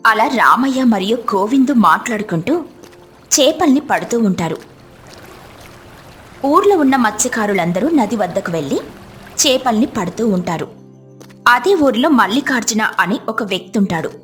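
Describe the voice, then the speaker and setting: A woman's voice: on the high side, animated, normal speed, long pauses, faint music behind. A woman storyteller reading scripted narration for a moral tale.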